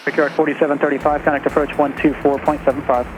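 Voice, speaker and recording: A man speaks as an air traffic controller, firing off instructions over the radio at a very fast clip. His pitch barely moves, giving him a flat, businesslike delivery, and music plays behind him. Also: rough recording. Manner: clipped, flat, businesslike phraseology. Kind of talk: rapid radio instructions to pilots. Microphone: radio transmission.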